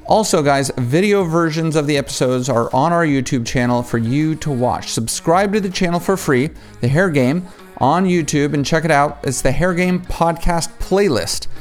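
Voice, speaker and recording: A man's voice: on the high side, normal speed, soft background music. A man hosting a podcast, chatting with a guest in an upbeat, enthusiastic way. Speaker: podcast host. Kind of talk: casual interview chat.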